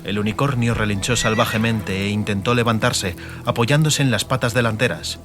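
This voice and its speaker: A man narrating a fantasy audiobook dramatically, in measured, emphatic phrases. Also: on the low side, steady tone. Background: music and atmospheric sound effects.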